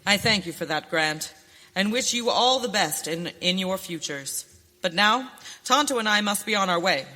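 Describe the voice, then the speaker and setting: Bright, high-voiced noble western hero. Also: male voice, expressive, moderate pace, long reverb tail, heavily acted. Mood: warm farewell. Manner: declamatory, formal, inspirational. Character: noble masked western hero, earnest and stirring. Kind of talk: western radio drama acting.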